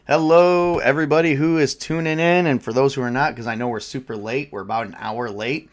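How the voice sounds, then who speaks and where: A man's voice: mid-range voice, brisk. A man hosting a tabletop game livestream as game master, chatting informally in a rambling, mildly crude way. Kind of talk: casual livestream chat.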